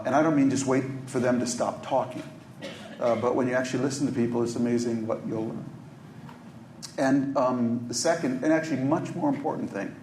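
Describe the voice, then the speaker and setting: A man's voice: mid-range voice, darkish tone. A man from Minneapolis, a journalist, giving thoughtful remarks on a conference panel, with frequent ums and restarts. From Minneapolis, USA. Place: hotel conference room. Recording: echoey conference room, faint noise.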